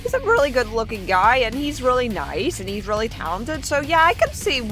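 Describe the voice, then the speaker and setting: A young woman vlogger chatting casually as she reads out and answers viewer comments, in a chatty, excitable manner. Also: a little high, brisk, soft background music.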